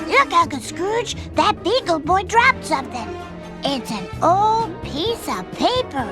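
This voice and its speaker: Casting: a young cartoon duckling, very high and lively, plucky with a nervous streak. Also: slow, over music, full character voice. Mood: curious, excited. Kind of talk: animated cartoon game acting.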